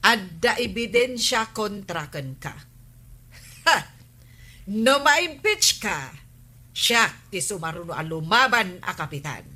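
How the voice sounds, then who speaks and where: Woman acting a sharp, scolding wife. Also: medium pitch, sing-song, slow pace, pauses often, husky, exaggerated voice. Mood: angry, accusing. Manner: nagging, probing, emphatic. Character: suspicious, scolding, sharp-tongued wife of village captain. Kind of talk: Ilocano radio comedy drama acting.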